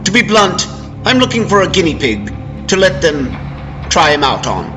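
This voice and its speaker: Man acting a higher-pitched, halting optometrist. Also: big pitch swings, over music. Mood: eager, persuasive. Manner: halting, hedging with ums and uhs. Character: eager, hesitant optometrist pitching experimental lenses.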